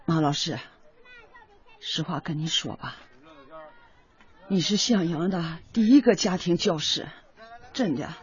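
Expressive long-suffering mother. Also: on the low side, measured pace, pauses often, dull audio, some chatter behind. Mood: weary, confiding. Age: middle-aged. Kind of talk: radio drama acting.

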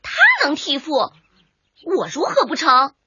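A woman's voice: high-pitched, sing-song, measured pace, pushed and bright, no echo, highs cut off, heavily acted. A woman playing a proud, defiant young martial artist daughter in a radio drama, with a challenging, clipped and emphatic delivery.

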